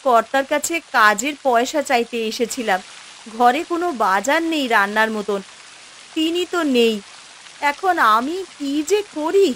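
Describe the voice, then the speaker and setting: High plaintive village mother. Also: animated, a little slow, fairly bright tone, slight background noise. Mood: distressed. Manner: plaintive, lamenting, homely village speech. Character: worried poor village wife and mother, dutiful, plaintive. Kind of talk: Bengali fairy-tale cartoon voice acting.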